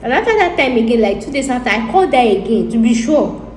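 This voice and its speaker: A woman's voice: on the high side, expressive, fast. Nigerian woman vlogger, thinks aloud and rambles, slightly roomy sound with faint hiss. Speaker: YouTuber. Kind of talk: casual monologue to viewers. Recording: echoey room with faint ambient noise.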